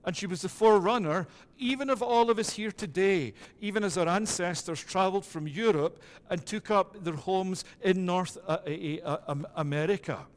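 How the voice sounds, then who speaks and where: A middle-aged Scottish pastor delivers a measured, deliberate sermon in a fairly high voice. His words ring out with a long reverb trailing off the walls of the sanctuary. He talks in steady, patterned phrases. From Scotland. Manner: measured preaching with repeated "it is that" phrasing.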